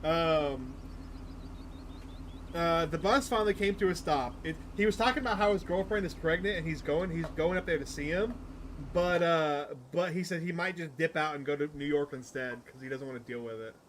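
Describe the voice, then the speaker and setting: A man's voice: on the high side, moderate pace, slight background noise. A man livestreaming a game, chatting casually and reading text aloud in a chatty, easily amused way. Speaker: streamer playing a visual novel. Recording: music playing behind the voice.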